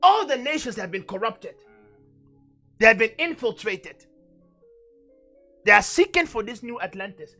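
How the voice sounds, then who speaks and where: The man you'd hear on a fiery online Bible show, pressing each point home in a high, forceful voice. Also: big pitch swings, unhurried, pauses often, faint music behind. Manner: emphatic, exhorting, repeats phrases for effect. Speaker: preacher and teacher on a religious show. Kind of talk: fervent Bible teaching.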